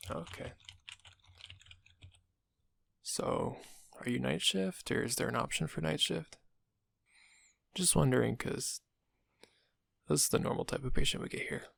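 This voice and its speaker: Guy acting as a laid back sly receptionist in audio rp, husky and crackly, knowing tone, close dry mic. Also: mid-range voice, moderate pace, pauses often, a bit bright, no room sound. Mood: sly, casual. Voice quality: gravelly, husky. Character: sly, casual male receptionist working for a vampire dentist. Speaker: receptionist character. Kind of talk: audio roleplay addressed to the listener. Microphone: close, dry microphone.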